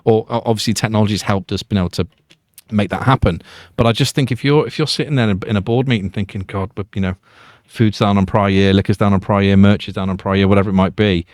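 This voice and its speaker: Quick, low-voiced man hosting a podcast. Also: steady tone, darkish tone, no room sound, studio quality. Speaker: podcast host. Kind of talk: casual interview chat.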